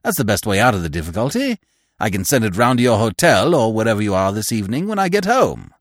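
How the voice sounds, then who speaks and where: A man's voice: lowish voice, sing-song, fast, no room sound, clean studio sound. A man voicing a jovial, hearty sponger in a storybook, with effusive, exclamatory old-chap friendliness. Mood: chummy, wheedling.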